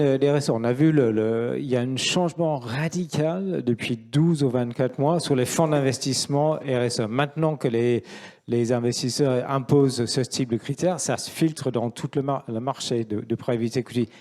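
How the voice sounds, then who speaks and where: A man's voice: medium pitch, moderate pace, warm tone. A middle-aged man from England with an English accent, a native English speaker, explaining his view as a panel speaker. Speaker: panel speaker, finance professional.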